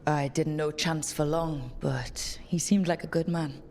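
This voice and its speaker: An actress playing a steady, encouraging adventurer heroine in a video game, with an earnest way of speaking. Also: female voice, low voice, unhurried. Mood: reassuring. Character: steady, encouraging hero woman, a visiting adventurer. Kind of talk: video game cutscene dialogue.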